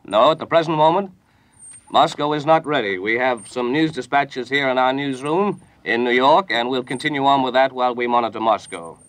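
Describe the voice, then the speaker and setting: Male American 1940s radio news anchor; formal, measured bulletin reading, mid-pitched, close dry studio sound. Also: fairly bright tone, no echo, rough recording.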